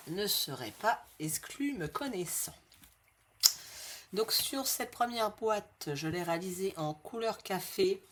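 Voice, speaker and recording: A woman with a slight head cold hosting a craft tutorial in a friendly, chatty way, repeating each measurement slowly for her viewers. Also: fairly low pitch, noisy background. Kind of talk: casual step-by-step craft tutorial.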